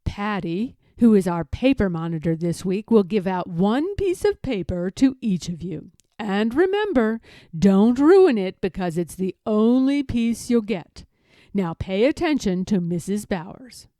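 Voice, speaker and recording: A woman's voice: mid-range voice, big pitch swings, a little slow, warm tone, no room sound. A woman reading a storybook, voicing a stern woman first-grade teacher with a firm, emphatic, instructing manner. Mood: strict, scolding. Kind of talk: children's storybook read-aloud.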